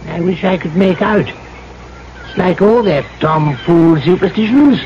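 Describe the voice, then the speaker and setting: An actor playing a duplicitous colonial trader in an old radio drama, clubby and falsely apologetic in a deliberate manner. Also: male voice, high-pitched, a little mellow. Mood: falsely regretful. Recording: muffled old radio broadcast, faint noise.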